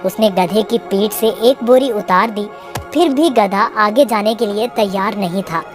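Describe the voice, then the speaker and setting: A woman's voice: medium pitch, measured pace. A woman reading a scripted moral tale for children as the storyteller. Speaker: narrator. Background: music.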